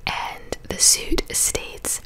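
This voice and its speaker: A young woman in her twenties whispering casual gossip chat for an ASMR video, close to a studio microphone in a small bedroom. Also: drawn-out words. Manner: chatty, conversational whisper. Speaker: ASMR creator. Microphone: large studio mic close to the mouth.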